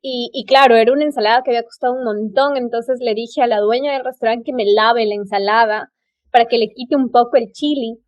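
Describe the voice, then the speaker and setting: Ecuadorian lady podcast host, kinda high voice, chatting away about stuff, super effusive. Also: steady tone, brisk, highs cut off. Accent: Ecuadorian Spanish. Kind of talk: casual travel conversation.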